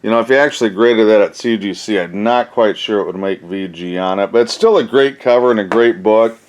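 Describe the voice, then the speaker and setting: A man's voice: on the low side, a little fast, a little hiss or hum. A man hosting a casual, unscripted collector's haul video, thinking aloud in a rambling way with folksy phrasing. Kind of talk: casual show-and-tell, unscripted.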